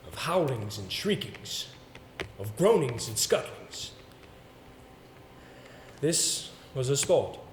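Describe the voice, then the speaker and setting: Echoey live theatre, slight noise, man acting haunted gentleman storyteller, earnest, deliberate dramatic phrasing, halting repetitions. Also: medium pitch. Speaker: stage actor. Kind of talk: theatrical stage monologue and dialogue. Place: indoor theatre stage, recorded live. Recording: echoey stage recording with background noise.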